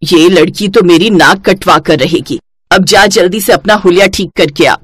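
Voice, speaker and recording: A woman's voice: fairly low pitch, normal speed, breathy edge, dry recording. A woman voicing a nagging, easily embarrassed middle-aged mother-in-law in a cartoon family story. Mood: scolding. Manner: nagging, chiding.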